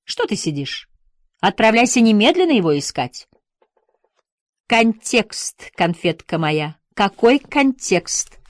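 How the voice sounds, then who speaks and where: A woman narrator giving a measured, expressive audiobook reading of literary prose. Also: medium pitch, dry recording, clean studio sound.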